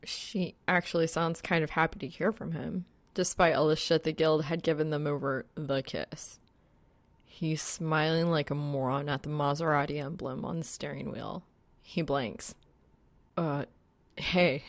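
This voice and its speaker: A woman's voice: low-pitched, fairly even intonation, moderate pace, vocal fry, no room sound. A woman reading scripted fan fiction as an audiobook narrator.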